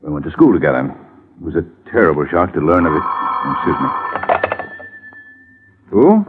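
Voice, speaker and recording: Deep, swooping radio-drama lawyer. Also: male voice, very animated, normal speed. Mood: brisk, affable. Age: middle-aged. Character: busy, glib middle-aged lawyer, evasive and smooth-talking. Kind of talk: 1950s radio drama acting. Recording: muffled, hissy vintage radio recording.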